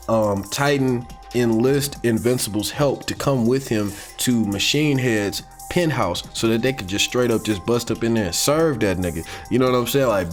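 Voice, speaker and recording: An American man giving casual, unscripted fan commentary in a slangy, rambling, excitable way, like an online video creator. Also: mid-range voice. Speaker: YouTube commentator on comics and animation. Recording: music playing behind the voice. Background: background music.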